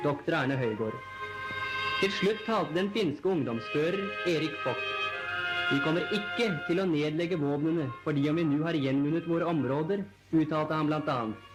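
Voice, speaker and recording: A man's voice: fairly high pitch, rough recording. A man narrates a 1940s newsreel in a formal, declamatory announcer style, on a vintage film soundtrack. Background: newsreel background music.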